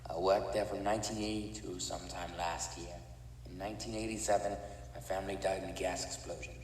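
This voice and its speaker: A man playing a cold, deep-voiced disfigured chemist. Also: a little fast. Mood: matter-of-fact. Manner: calm, clipped, matter-of-fact. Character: disfigured male chemist criminal, cold and detached. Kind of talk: fan audio drama acting. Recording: echoey, distant room with faint noise.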